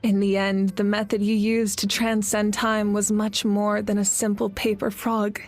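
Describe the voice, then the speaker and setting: An actress plays a selfless heroine and loving elder sister. Her mid-pitched voice is slow, gentle and restrained, solemn game dialogue over soft music. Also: little pitch movement, lightly acted. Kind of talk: video game voice acting.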